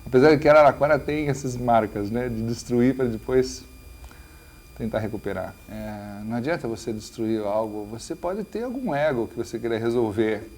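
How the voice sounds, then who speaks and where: A man with a Brazilian accent speaks off the cuff in an interview, halting as he searches for words. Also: mid-range voice, darkish tone, a little hiss or hum. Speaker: urbanist interviewee. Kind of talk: spontaneous interview talk.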